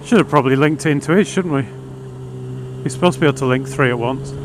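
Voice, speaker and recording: Englishman chatting over loud road noise. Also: mid-range voice, brisk. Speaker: motorcycle vlogger. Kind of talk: casual chat over a motorbike intercom. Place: outdoors, largely riding a motorbike. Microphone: helmet intercom microphone. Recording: loud wind and engine noise. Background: wind, motorbike engine and road noise.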